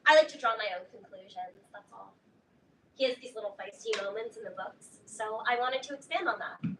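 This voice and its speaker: A woman's voice: a little high, fairly quick, a bit bright. A woman in a staged reading playing an earnest, independent-minded, mildly defensive teenage girl writer. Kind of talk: staged reading of a play, naturalistic dialogue. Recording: roomy, distant mic, faint noise.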